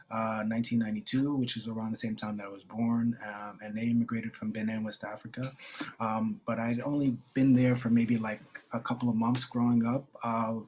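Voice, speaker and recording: A man's voice: on the low side, a little hiss or hum. A young man from New York on a video call, telling of his grant year in a casual, hesitant way, with many fillers and restarts. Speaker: former grantee. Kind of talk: casual online info session talk.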